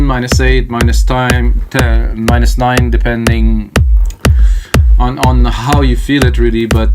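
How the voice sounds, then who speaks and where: Middle-aged French Canadian man, producer type, casual and rambling, heavy electronic music under the voice. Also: medium pitch. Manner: rambling, thinks aloud, casual with frequent fillers. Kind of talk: live music production tutorial. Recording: loud electronic music playing behind. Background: loud techno music and keyboard typing.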